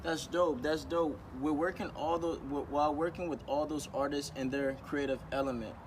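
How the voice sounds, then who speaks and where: A man's voice: on the high side, fairly even intonation, normal speed. A man interviewing a guest in a casual, friendly way, full of slang like dope and bro. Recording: outdoor traffic noise.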